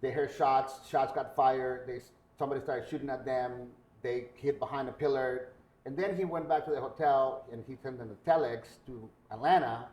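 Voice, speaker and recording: A man's voice: mid-range voice, moderate pace, darkish tone, echoey room, poor audio. A man from Guatemala hosting a podcast, chatting casually and telling anecdotes. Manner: conversational, tells anecdotes. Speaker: podcast host. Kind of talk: casual interview chat.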